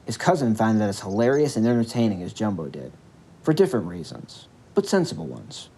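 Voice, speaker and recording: A man's voice: lowish voice, very animated, a little fast, warm tone, slightly rough. A man reading a scripted story as its narrator, with music behind his voice.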